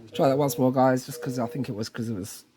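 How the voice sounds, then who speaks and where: A man's voice: mid-range voice, steady tone, fast, dark tone. A man presenting a casual hands-on tech tutorial in an informal way, often checking in with the viewer. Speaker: presenter of installation tutorial videos.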